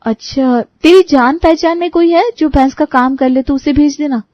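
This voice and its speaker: A woman's voice: high voice, normal speed, no echo, close to an everyday voice. A woman voicing a practical, firm young daughter-in-law in a cartoon story, slightly lo-fi.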